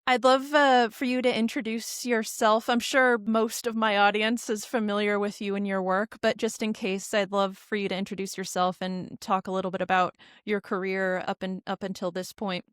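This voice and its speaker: A woman's voice: mid-range voice, flat delivery, normal speed, hardly any pauses, clear, resonant tone, no echo, clean studio sound. A woman hosting a podcast, asking her guest questions in a friendly, upbeat and loose way. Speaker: podcast host. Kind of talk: casual interview talk.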